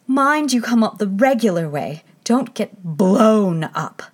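A woman's voice: medium pitch, expressive, takes it slowly, pitched above the performer's own voice. A woman doing a frantic breathless chess queen. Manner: loud, breathless cries.

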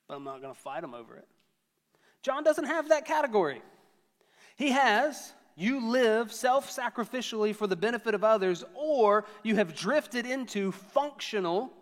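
A man's voice: high voice, animated, unhurried, long reverb tail. A man in his thirties preaching a sermon to a church, conversational and storytelling with self-deprecating humor. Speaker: pastor.

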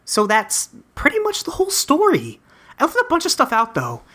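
A woman's voice: high voice, huge pitch swings, normal speed, a bit bright, heavily acted. A woman voicing an earnest, rambling teenage boy in a webcomic dub, breezily recounting his adventures. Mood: earnest, friendly. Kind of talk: character dub reading of a webcomic.

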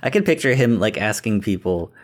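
A man's voice: lowish voice, big pitch swings, brisk. A man chatting casually as a podcast co-host, laid-back and agreeable, adding joking asides. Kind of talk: casual chat.

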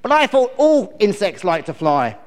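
A man's voice: sits high, big pitch swings, normal speed, long reverb tail, pitched above the performer's own voice. A man voicing a cheery, eager little female bluebottle fly in a children's storybook. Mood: apologetic, eager. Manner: enthusiastic, apologetic, chatty.

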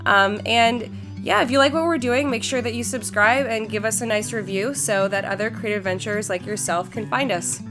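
A woman's voice: on the high side, brisk, over music. A young woman hosting a podcast, upbeat and chatty with many "um" fillers, her voice congested from a flu. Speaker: podcast host. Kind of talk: podcast intro and interview.

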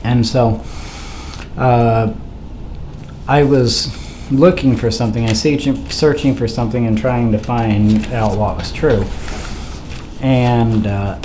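A man's voice: mid-range voice, fairly even intonation, takes it slowly, long pauses, dark tone. A man hosting a faith podcast in a casual, hesitant monologue, sounding like he has a cold and is losing his voice. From Idaho, USA. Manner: hesitant, many uh fillers. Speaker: podcast host. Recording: faint wind noise behind.

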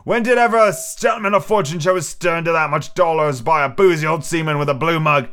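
A man's voice: on the high side, brisk, sharp tone, pitched above the performer's own voice. A man doing a blustering old pirate captain. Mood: defiant. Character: gruff peg-legged old male pirate captain, defiant.

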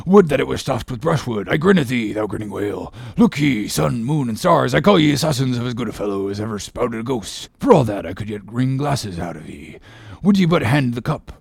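A man voicing a jocular, defiant ship's mate facing death, gravelly and raspy, taunting with grim humour in an audiobook. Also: medium pitch, very animated, brisk, darkish tone, dry recording, clean studio sound, heavily acted. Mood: grimly mocking. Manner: grimly joking, taunting. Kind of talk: dramatic audiobook character acting.

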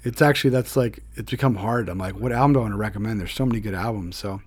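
Enthusiastic podcast host. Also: male voice, lowish voice, racing. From Philadelphia, US. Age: 30s. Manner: enthusiastic, slangy, rambling, many restarts. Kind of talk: casual interview chat.

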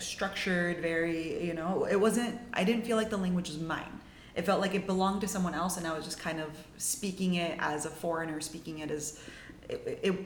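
A woman's voice: fairly low pitch, echoey room, a little hiss or hum. A young woman in her twenties from Mexico, telling her story as a podcast guest in a chatty, upbeat way full of "like"s and restarts. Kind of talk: casual storytelling.

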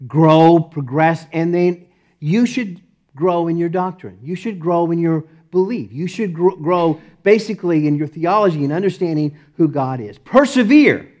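A man with a Texas accent preaching a sermon as the pastor, emphatic and repetitive, often breaking into short laughs and swinging between loud and soft. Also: a little high, moderate pace. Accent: Texan.

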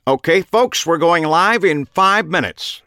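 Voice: mid-range voice, expressive, measured pace, bright, forceful tone, no room sound, clean studio sound, voice pushed up. A man voicing a smiling television host in an audiobook, projecting chattily as if to an audience. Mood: upbeat.

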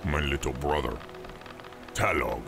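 Deep gravelly brooding orc prince. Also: male voice, animated, measured pace, heavily acted. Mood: resigned. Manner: terse, grim, matter-of-fact. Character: blunt, brooding exiled orc prince who killed his father. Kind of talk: fantasy audio drama acting. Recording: music under the voice.

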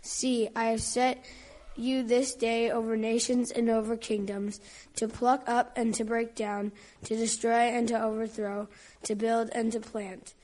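A woman reading scripture aloud in a church service in an even, measured way. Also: fairly high pitch, poor audio. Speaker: scripture reader.

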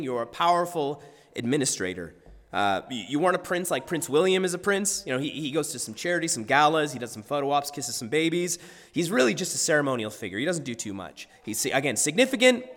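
Hoarse-voiced pastor preaching in a reverberant hall. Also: male voice, high voice, a bit bright. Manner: conversational, self-deprecating humor, occasional restarts. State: hoarse, husky voice today. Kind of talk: sermon with scripture reading and prayer. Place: church sanctuary. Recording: long church hall reverb.

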